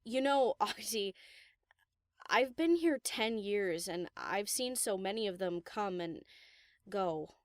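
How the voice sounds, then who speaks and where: A woman's voice: mid-range voice, takes it slowly, no room sound, studio quality, natural voice. A woman voicing a kind-hearted, vulnerable female pony DJ in an audio drama, talking informally and hesitantly. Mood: wistful.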